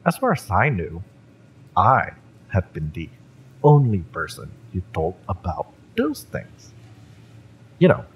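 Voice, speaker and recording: A male actor plays a taunting cyberpunk mercenary in an intimate audio roleplay, with a deep voice that swings expressively. He mocks the listener in a menacing drawl full of dramatic pauses, over a faint rumbling noise. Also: wildly swooping pitch, exaggerated voice, poor audio. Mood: suspicious, taunting. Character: fiery, taunting male mercenary, spurned former bodyguard.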